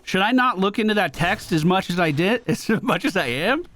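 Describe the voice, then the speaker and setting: A man's voice: high-pitched, lively intonation, fairly quick, fairly bright tone, a little hiss or hum. A man streaming a game, giving casual, slangy commentary as he thinks aloud. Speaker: gaming streamer. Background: game music.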